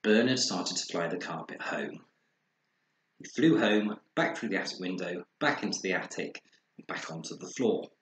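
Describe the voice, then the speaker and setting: A man narrating a children's story with expressive, dramatized delivery, recorded in an echoey room. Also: low-pitched, normal speed. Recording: echoey room with faint noise.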